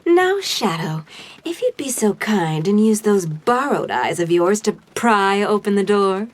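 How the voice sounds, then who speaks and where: A woman's voice: mid-range voice, sing-song, unhurried. A woman playing a smug, theatrical crew leader of thieves in an audio drama, teasing and self-assured. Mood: smug, playful. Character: suave, playful female master thief, crew leader.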